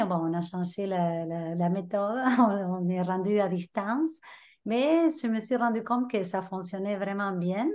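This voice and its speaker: A woman's voice: medium pitch, a little mellow. A woman from Argentina, a native Spanish speaker with an Argentinian accent, introducing her Spanish teaching in French on a video call, hesitant with many restarts.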